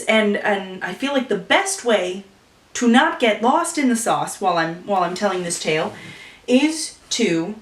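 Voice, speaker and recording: A woman vlogging to camera in a casual, playful, rambling way, full of animated asides. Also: mid-range voice, distant mic. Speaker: vlogger telling an improvised story. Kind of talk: casual chatty to-camera talk. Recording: echoey room, voice off-mic.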